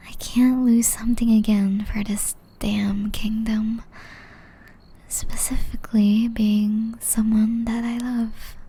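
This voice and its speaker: Woman playing a gentle witch in breathy whispers. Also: on the high side, flat delivery, full character voice. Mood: earnest, loving. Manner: slow, tender, intimate delivery. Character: gentle young witch, tender, caring, shyly in love. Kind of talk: whispered ASMR romance roleplay.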